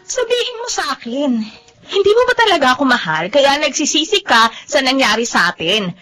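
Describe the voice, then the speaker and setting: A woman's voice: high-pitched, sing-song, fairly quick, bright, forceful tone, full character voice. A Filipino actress playing a hurt, demanding young woman in a melodramatic radio drama, insistent and emotional. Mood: tearful, demanding. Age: young adult. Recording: studio radio drama with music underneath.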